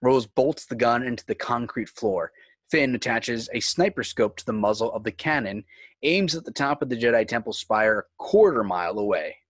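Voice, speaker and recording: A man's voice: medium pitch, expressive, normal speed, dry recording. A man reading scripted screenplay narration, describing scenes and action. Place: indoors on a home video call.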